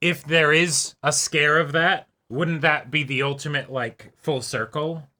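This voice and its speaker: A man's voice: on the high side, steady tone, a little slow, sharp tone. A man co-hosting a reaction show, chatting casually with wry, joking remarks.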